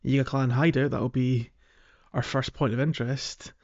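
A man's voice: medium pitch, fairly even intonation, normal speed, darkish tone. Man on a slightly dull-sounding gaming podcast. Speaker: podcast co-host. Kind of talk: casual chat about video games.

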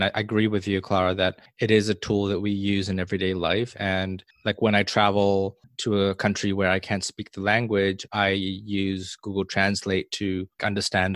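Low-voiced male co-host, measured and even-handed, dry recording. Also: no echo. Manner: measured, weighs both sides with small restarts. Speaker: podcast co-host. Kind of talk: casual discussion.

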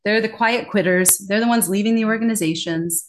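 A woman talking in a conversational interview as a communication trainer, animated and emphatic, laughing often. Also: mid-range voice, brisk.

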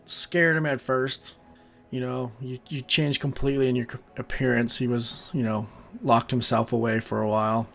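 Young man, mid-pitched, over soft music. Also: level tone, normal speed, vocal fry. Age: young adult. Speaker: player describing his character. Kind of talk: casual interview answers, partly in character. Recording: music behind the voice. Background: background music.

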